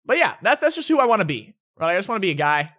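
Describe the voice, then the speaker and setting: Young male YouTuber, laid-back and self-correcting, bright tone, home vlog. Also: sits high, very animated, very fast. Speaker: YouTuber answering viewer questions. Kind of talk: casual vlog Q&A. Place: indoors at home.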